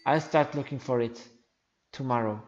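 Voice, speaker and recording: A game player voicing a thoughtful young hero of a fantasy adventure in a hushed, earnest, reflective manner. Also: mid-range voice, moderate pace, muffled recording, faint music behind, pitched below the performer's own voice, natural voice. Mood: wistful, resolute.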